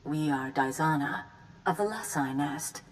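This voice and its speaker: Deep, stately hive emissary. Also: female voice, measured pace, a little hiss or hum. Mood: welcoming. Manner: formal, stately, speaks as we. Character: serene, ceremonious woman emissary of an insect hive. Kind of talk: scripted video game voice acting.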